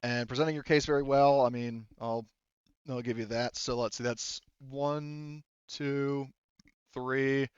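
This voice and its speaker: A man with a mid-pitched voice acts as game master for a tabletop roleplaying session, talking through rules and rulings. He reasons aloud and deliberately, and the close, dry audio is slightly dull. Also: steady tone, clear, resonant tone, no room sound.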